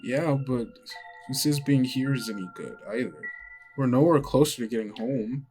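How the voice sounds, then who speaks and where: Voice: mid-range voice, moderate pace, a little mellow, soft background music, close to an everyday voice. A game master voicing a sullen, distrustful girl companion who is blunt and skeptical.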